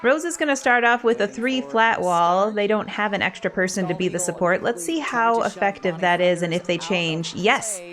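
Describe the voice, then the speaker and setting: A woman giving casual, playful sports commentary on a game, expressive and quick to act out what players might be thinking. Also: mid-range voice. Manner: playful, expressive, voices imagined thoughts of players. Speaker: roller derby commentator and former player. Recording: crowd noise behind the voice. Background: roller derby game crowd.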